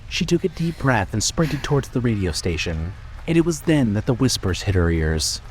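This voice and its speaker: A man's voice: mid-range voice, rough recording. A man narrating a scripted horror story in a dramatic style. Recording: loud ambient noise behind voice.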